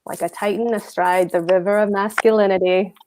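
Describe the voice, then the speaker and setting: A woman's voice: mid-range voice, steady tone, a little fast, noisy background, natural voice. A woman reading the part of a pompous, pedantic husband and petty official in a stage comedy table read. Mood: mocking, grandiose. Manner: pompous, emphatic, precise.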